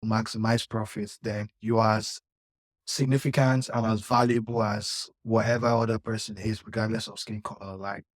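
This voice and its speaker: A man's voice: fairly low pitch, little pitch movement, normal speed, no echo. A young man from Nigeria co-hosting a podcast, talking in an earnest, reflective way with lots of "like" fillers. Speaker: podcast co-host. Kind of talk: casual chat.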